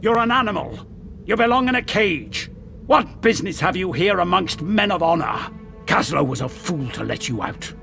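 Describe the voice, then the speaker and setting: An actor playing a hostile, commanding captain of soldiers who speaks with contempt in a fantasy video game. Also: male voice, sits high, sing-song, moderate pace, vocal fry, bright, forceful tone, soft background music, heavily acted. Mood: hostile, scornful. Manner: commanding, scornful.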